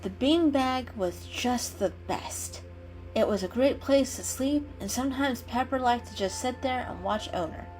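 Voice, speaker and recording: A woman narrating a children's story in an expressive, dramatic reading style. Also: on the high side, unhurried, low-quality recording. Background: soft music.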